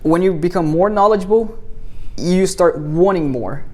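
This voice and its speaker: Male host, fairly high-pitched, encouraging, stumbles and repeats words at sentence starts. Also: moderate pace, mellow. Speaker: interview host, business owner. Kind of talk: casual interview chat.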